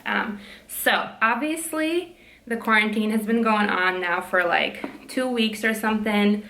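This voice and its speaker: A young woman with a naturally loud voice, chatting casually at home while doing her nails, frank and fond of swearing, full of likes and ums, holding her voice down. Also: medium pitch, unhurried, reverberant, a little hiss or hum, rough recording. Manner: frank, swears freely, many "like" and "um" fillers; speaking softly to keep quiet. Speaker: vlogger, PhD student. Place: room at home.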